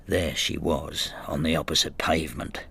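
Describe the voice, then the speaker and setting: A man's voice: rumbling low, steady tone, normal speed, creaky, bright, forceful tone, breathy edge, exaggerated voice. An actor playing a brooding, resentful older man in a radio drama, confiding in an intimate, reflective way. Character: brooding older Frenchman, resentful, inward, estranged husband. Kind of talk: radio drama, intimate first-person narration and dialogue.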